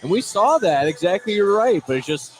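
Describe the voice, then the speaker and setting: A man's voice: high voice, sing-song, a little fast, over music. A man working as color commentator on a live basketball broadcast, analysing the play in a conversational way. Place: indoor school basketball gym, live courtside. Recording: crowd-filled live gym broadcast.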